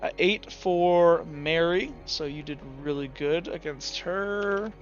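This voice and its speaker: Male game master, notably high voice, improvised narration and rules chat, slightly muffled with faint music behind. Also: takes it slowly. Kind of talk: improvised tabletop game narration and table talk.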